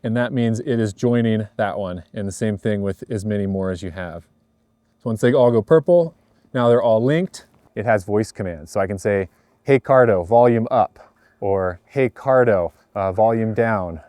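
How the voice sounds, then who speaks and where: A man's voice: on the low side, normal speed, mellow, no echo. A man giving a casual product review in vlog style, explaining things in a laid-back, plain way. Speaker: host of a ski gear review.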